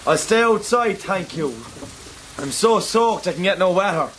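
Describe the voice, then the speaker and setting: Pleading young Irishman, animated pitch. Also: normal speed, a little hiss or hum, poor audio. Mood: bitter. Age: young adult. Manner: hesitant, pleading. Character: devoted, plaintive young Irish farm labourer. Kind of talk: radio drama acting.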